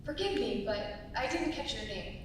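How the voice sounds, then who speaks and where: A woman's voice: animated, moderate pace, natural voice. A young woman playing a wounded, devout young woman urgently seeking help, in a live dramatic reading. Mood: grateful, polite. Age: young adult. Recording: echoey hall from far off, rumble.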